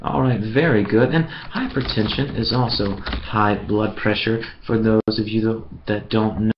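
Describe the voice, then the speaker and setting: A man from the USA leads an online English class, speaking slowly and clearly to his students. His voice sits at an ordinary pitch. The sound is a little dull, with faint noise behind it. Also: steady tone. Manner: slow, clear, encouraging teacher talk. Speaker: English teacher.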